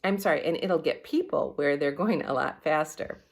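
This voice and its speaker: A woman's voice: low-pitched, normal speed, gravelly. A woman voicing an earnest, opinionated schoolboy from a storybook, in an emphatic, persuasive manner. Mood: arguing.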